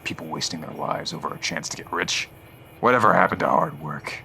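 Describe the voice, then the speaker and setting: An actor voicing a gruff, terse retired soldier turned caravan guard in a video game. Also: male voice, rumbling low, a little fast, sharp tone, a little hiss or hum, full character voice. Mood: stern disapproval. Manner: terse, matter-of-fact, stern. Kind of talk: video game character dialogue.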